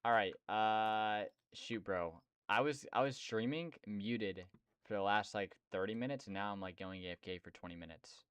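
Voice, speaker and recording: A man's voice: fairly low pitch, normal speed, a bit bright, clear, resonant tone, no room sound. A young man streaming and chatting casually about game development, slangy and rambling with lots of fillers. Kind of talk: casual chat.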